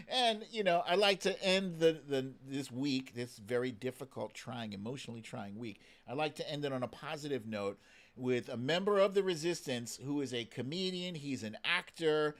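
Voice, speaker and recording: A man's voice: medium pitch, expressive, normal speed. A man hosting a casual live stream, chatting playfully with exclamations as he addresses his viewers.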